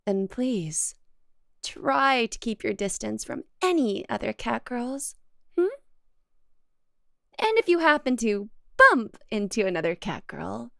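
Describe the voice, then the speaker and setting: A woman's voice: a little high, no room sound, clean studio sound, heavily acted. A woman playing a jealous, possessive young cat girl girlfriend in an ASMR roleplay, speaking to the listener in a coy, accusing yet affectionate way. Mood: possessive warning.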